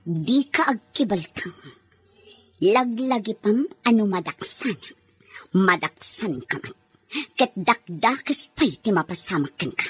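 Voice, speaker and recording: A Filipino woman playing a haughty, menacing, quick-tempered employer in an audio drama. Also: medium pitch, lively intonation, a bit bright, slightly rough, full character voice. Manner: threatening, indignant.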